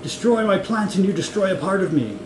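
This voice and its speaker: A man plays a grandiose mad scientist in a comic story, excitable and petulant. The voice is pushed higher and emphatic, in an echoey, noisy room. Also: brisk. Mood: melodramatic. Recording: echoey room with clear noise.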